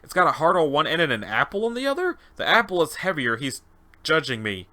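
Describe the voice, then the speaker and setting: A man's voice: a little high, big pitch swings, fairly quick, sharp tone, voice pushed up. A man voicing an agitated male convict test subject unraveling into madness, bewildered and stammering, in a dramatized interview log. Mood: frantic, insistent.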